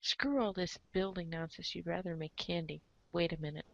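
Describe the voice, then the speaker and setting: A woman's voice: on the low side, moderate pace, gravelly, a bit bright, no room sound. Playful woman narrator, dull close recording. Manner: playful, theatrical, breaks into asides and chuckles. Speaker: narrator of a comic read-along show. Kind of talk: animated dramatic reading with casual asides.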